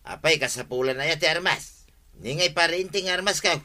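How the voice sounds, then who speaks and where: A man's voice: fairly high pitch, normal speed, pushed and bright. A man with an Ilocano accent playing a boastful older husband eager to fight criminals, in broad, exclaiming radio comedy style. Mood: insistent.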